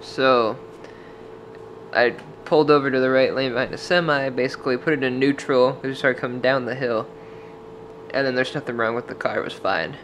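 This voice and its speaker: A young man from Washington state tells a travel vlog story to a camera held at arm's length in a motel room. He talks in a chatty, rambling way with plenty of "like" fillers. A faint hum sits behind his voice, and his pitch stays in an ordinary middle range. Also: steady tone, moderate pace, fairly bright tone, rough recording. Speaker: vlogger. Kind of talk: casual travel vlog with voice-over. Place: dim motel room, indoors.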